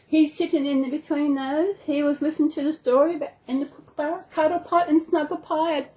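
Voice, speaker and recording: A woman's voice: high voice, a little mellow, low-quality recording. A woman with an Australian accent chatting casually and affectionately in a rambling home vlog, talking to viewers and to her dolls. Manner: rambling, affectionate, talks to dolls and toys as if alive. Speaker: doll collector hosting a storytime video channel. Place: indoors at home.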